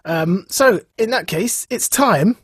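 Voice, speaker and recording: A man co-hosting a podcast in casual banter, amused, teasing and quick to mock himself. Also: high-pitched, expressive, fairly bright tone, breathy edge, dry recording, clean studio sound. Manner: amused, teasing, self-mocking. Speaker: podcast co-host.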